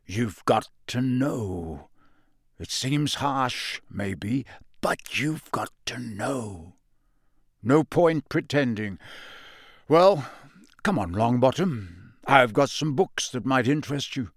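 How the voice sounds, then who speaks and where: A man voicing a gruff, grizzled old male professor who growls his warnings with stern urgency. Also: mid-range voice, sing-song, slow pace, no room sound, clean studio sound. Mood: grave.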